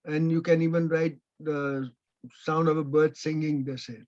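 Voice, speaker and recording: A man whose first language is Persian answers audience questions on a video call, slowly and with many pauses. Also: fairly high pitch, little pitch movement, a little mellow. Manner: slow, halting, with many pauses. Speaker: invited speaker. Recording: dull lo-fi video call audio.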